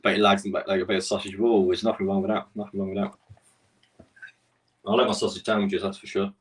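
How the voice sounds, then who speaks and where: A man with a Geordie accent hosts a casual live stream and rattles along quickly in a low voice. He rambles and answers his viewers' messages as he goes. His voice sits back from the microphone, and keyboard clicks come through now and then. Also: little pitch movement, distant mic. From Newcastle.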